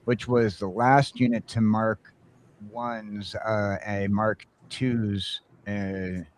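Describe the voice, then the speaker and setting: A man's voice: fairly low pitch, little pitch movement, drawn-out words, slight background noise. A man chatting casually on a morning podcast, rambling with frequent fillers. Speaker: podcast co-host. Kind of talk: casual morning show chat.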